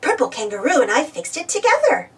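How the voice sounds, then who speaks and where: A woman voicing an excited, eager young female puppy in a children's storybook. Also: high-pitched, fairly quick, distant mic.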